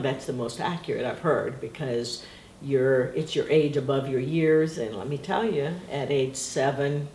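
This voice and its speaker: A woman's voice: very deep voice, a little mellow. A middle-aged woman whose first language is Portuguese, being interviewed at home, talking in a rambling, reflective way and laughing often. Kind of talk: casual reminiscing interview. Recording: echoey room with faint noise.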